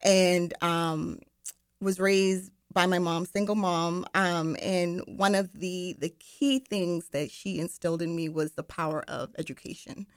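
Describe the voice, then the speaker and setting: A woman's voice: fairly low pitch, steady tone, unhurried, dry recording. A young woman from Barbados, guest on a studio radio interview, chatty with many ums and laughs. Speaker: guest, marketing director. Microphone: studio microphone.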